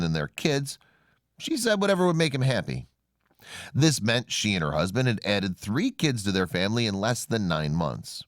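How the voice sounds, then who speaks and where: A man's voice: lowish voice, a bit bright, dry recording, clean studio sound. A man narrating a scripted human-interest story with a polished, dramatic storytelling delivery.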